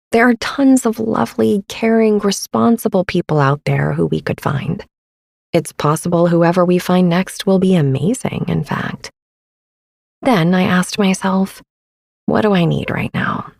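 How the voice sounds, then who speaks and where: Soft, breathy woman, soothing. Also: on the low side, no echo, clean studio sound. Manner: soothing, gentle, unhurried delivery. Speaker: podcast host. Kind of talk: guided sleep meditation narration.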